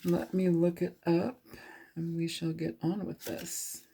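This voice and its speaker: Low, gravelly woman giving a tarot reading. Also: level tone, unhurried, mellow, slight background noise. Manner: rambling, loose, filler-heavy. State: sniffly with a cold. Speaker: tarot reader. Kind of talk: casual tarot reading to camera.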